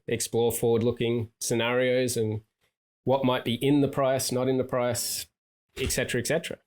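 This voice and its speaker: A man on a panel discussing markets, talking thoughtfully and leaning on fillers like "you know" and "sort of". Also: mid-range voice. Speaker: investment analyst co-panelist. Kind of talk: podcast discussion.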